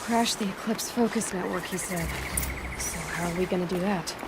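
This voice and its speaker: A woman's voice: on the low side, normal speed, heavy background noise, natural voice. A woman playing a wry, defiant young huntress in a video game, with a sarcastic, dry manner. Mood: sarcastic, irritated. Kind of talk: video game dialogue acting.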